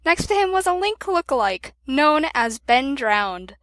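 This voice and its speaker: A woman narrates a scripted story in a high voice. Her intonation moves around a lot, and the recording is dry and close. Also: sing-song, a little slow, fairly bright tone, dry recording. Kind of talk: scripted narration of fan fiction.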